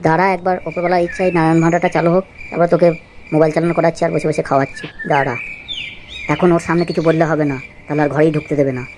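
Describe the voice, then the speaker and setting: Very rapid henpecked cartoon husband acted by a woman. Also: sits low, flat delivery, noisy background, close to an everyday voice. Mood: scheming. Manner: pleading, wheedling, muttering asides to himself. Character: henpecked, wheedling, secretly scheming village husband. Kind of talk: amateur comedy cartoon dialogue.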